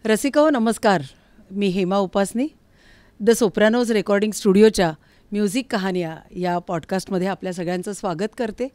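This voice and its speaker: A woman's voice: medium pitch, normal speed, a little mellow, no room sound. A woman hosting a podcast, chatting casually as she interviews a guest. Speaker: podcast host interviewing a musician. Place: recording studio.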